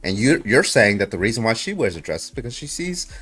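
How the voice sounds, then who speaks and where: A man's voice: mid-range voice, big pitch swings, quick pace, a bit bright, slightly rough. A man in a heated online debate, pressing his opponent with repeated questions and rhetorical tags.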